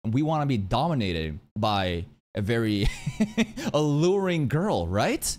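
A young man doing excitable livestream reaction commentary, slangy and crude in his joking, speaking close to a boom-arm mic. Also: unhurried, a little hiss or hum. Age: young adult. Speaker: streamer reacting to a game trailer. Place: small indoor room. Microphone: boom-arm microphone up close.

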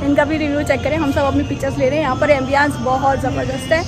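A woman in her thirties reviewing a rooftop restaurant at night, chatty and enthusiastic, with frequent pauses, filmed on a phone at arm's length. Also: high voice, level tone, over loud music, over a noisy crowd. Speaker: food vlogger. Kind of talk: casual restaurant review vlog. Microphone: phone held at arm's length. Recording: loud traffic noise around. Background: traffic noise.

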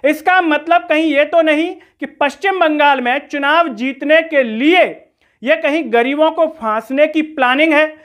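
High-pitched man, questioning commentator. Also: measured pace, fairly bright tone. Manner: rhetorical, questioning, accusatory. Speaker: news commentary host. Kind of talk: political commentary to camera. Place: small room indoors.

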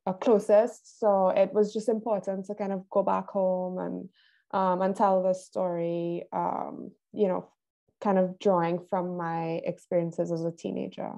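Creaky-voiced young woman from Trinidad. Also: lowish voice, mellow, highs cut off. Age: young adult. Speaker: film director being interviewed. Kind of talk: casual interview talk. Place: indoor room, video call. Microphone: wired earphones on a video call.

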